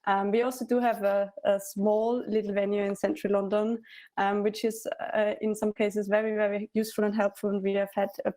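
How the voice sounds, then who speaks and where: Woman, flat delivery. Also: medium pitch. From Austria. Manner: friendly, hesitant, many ums. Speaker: cultural forum music officer. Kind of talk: conference introduction.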